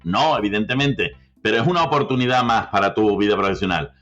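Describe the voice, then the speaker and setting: A man's voice: on the low side, brisk, a bit bright. Man hosting, slightly muffled sound. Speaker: podcast host, lawyer. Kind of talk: interviewing a guest.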